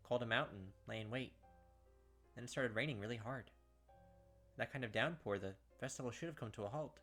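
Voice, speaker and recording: A man's voice: medium pitch, brisk, fairly bright tone, faint music behind. A man reading visual novel text aloud as a let's-play host, dropping casual, slangy asides along the way.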